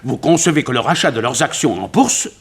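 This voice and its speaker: A man playing a wealthy, worried financier in a detective radio play, speaking formally, precisely and gravely. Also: mid-range voice, sing-song, a little fast. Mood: grave, emphatic.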